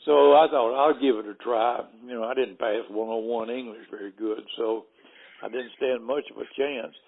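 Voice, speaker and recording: An older man with a Southern Alabama drawl spins hunting yarns in an easygoing, folksy way, chuckling as he goes. His voice sits at an ordinary pitch. The audio is narrow and phone-like. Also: steady tone, normal speed, vocal fry. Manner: folksy, chuckling between anecdotes. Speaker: guest. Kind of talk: casual storytelling chat.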